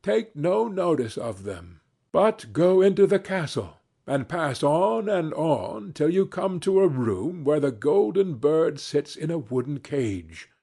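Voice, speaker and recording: A man's voice: fairly high pitch, sing-song, takes it slowly, creaky, warm tone, breathy edge, heavily acted. A man voicing a wise, helpful talking fox in a storybook, giving formal, old-fashioned instructions. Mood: firm, advising.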